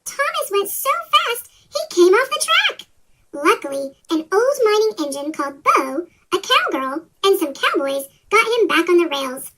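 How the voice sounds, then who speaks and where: A woman reading a children's story aloud as scripted narration, her voice sped up with a chipmunk pitch-shift effect. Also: sing-song, a little slow, background noise.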